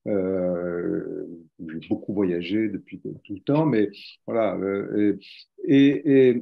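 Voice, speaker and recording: A middle-aged or older man from France tells anecdotes as a guest writer, halting and dryly humorous. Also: on the low side, creaky. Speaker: journalist and novelist guest. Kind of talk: anecdotal explanation in a webinar. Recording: dull lo-fi webinar audio.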